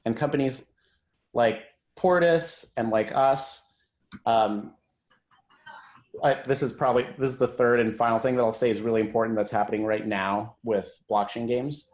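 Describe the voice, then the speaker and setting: A man's voice: mid-range voice, moderate pace, a little mellow, echoey room. A man who works as a tech executive, giving an informal presentation on a conference call.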